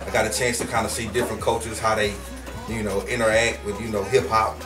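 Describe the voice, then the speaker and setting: A man's voice: fairly low pitch, little pitch movement, fast, reverberant, distant mic, music in the background. A man from Houston, a rapper being interviewed, talking loosely and casually with lots of fillers. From Houston, Texas. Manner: laid-back, slangy, with many fillers. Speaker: guest rapper.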